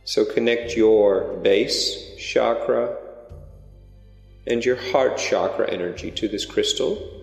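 A man leading a guided meditation in a slow, gentle, drawn-out way. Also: fairly low pitch, vocal fry. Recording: roomy reverberant recording with soft music. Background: new-age music.

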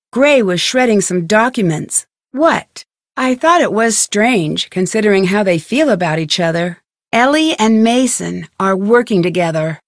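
An actress playing a poised, inquisitive young woman detective in a video game, questioning in a measured way. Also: a little high, dry recording, studio quality. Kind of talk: video game character voice acting.

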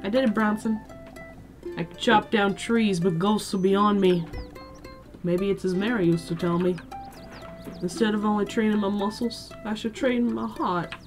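A woman's voice: medium pitch, steady tone, normal speed, over music, lightly acted. A woman voicing a strong, grieving village father in a game, gloomy but proud. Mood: rueful.

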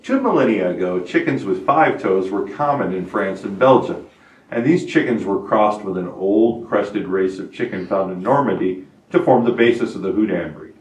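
A man in his fifties with a somewhat low voice reads a scripted breed profile in a steady, informative manner. He speaks from a studio set into a lapel mic, and the recording carries a roomy echo that makes him sound a little distant from the mic. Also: moderate pace. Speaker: host of a hobby farm channel. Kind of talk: scripted breed spotlight narration. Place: indoor studio set before a wooden barn-wall backdrop. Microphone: lapel mic, medium camera framing.

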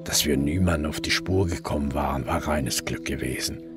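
An actor playing a sober, weary police detective, narrating a radio crime drama in a measured, reflective way. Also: male voice, a bass voice, over music. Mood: sombre, self-critical.

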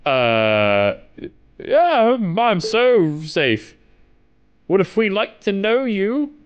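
Young man, animated swooping pitch, unhurried pace, playful gaming commentary, close mic. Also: clear, resonant tone, lo-fi sound. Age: young adult. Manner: playful, reactive. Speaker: gaming video host. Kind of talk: casual let's-play commentary, reading game text aloud.

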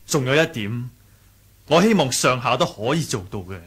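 Casting: young martial hero leading a sect, modest, courteous, slightly rough-edged voice, Hong Kong Cantonese, vintage radio drama. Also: male voice, medium pitch, expressive, moderate pace, a bit bright. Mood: modest, resolute. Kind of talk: 1980s radio drama acting.

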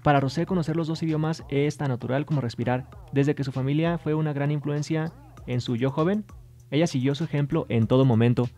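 Male news host, mellow tone, upbeat scripted read, faint music bed. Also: on the high side, brisk. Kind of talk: scripted entertainment news narration.

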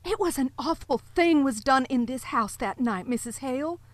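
A timid, nervous sheriff's wife, in a radio play. Her voice sits high and sounds close and dry, and she halts often with anxious little laughs. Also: lively intonation, moderate pace, dry recording. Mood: solemn. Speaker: Mrs. Peters, sheriff's wife. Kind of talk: live radio play acting. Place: home closet.

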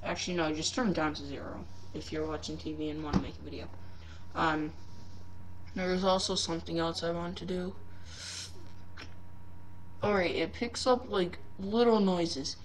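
A woman casually demonstrating software at home, unscripted and hesitant, thinking aloud as she goes. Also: lowish voice, steady tone, normal speed, long pauses, low-quality recording. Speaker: software reviewer. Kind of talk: casual unscripted screen-recording demo. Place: living room at home. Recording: home mic with clear background noise.

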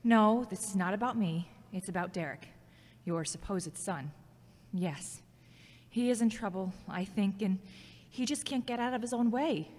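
A woman's voice: medium pitch, measured pace, a little mellow, close to an everyday voice. A woman acting a worried, frank woman who prays in a wry, informal way in an audio drama. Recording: echoey hall with long reverb.

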